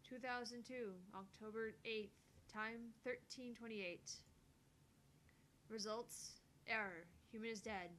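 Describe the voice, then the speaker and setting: A woman with a mid-pitched voice reads scripted game dialogue and reports aloud. It is a home recording with a faint rumbling noise underneath. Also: a bit bright. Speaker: amateur voice reader of a visual novel.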